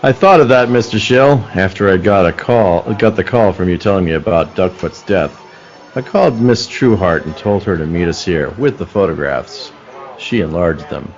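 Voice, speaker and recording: A man playing an authoritative police detective in a radio play, deliberate and no-nonsense. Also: low-pitched, muffled recording. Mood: probing.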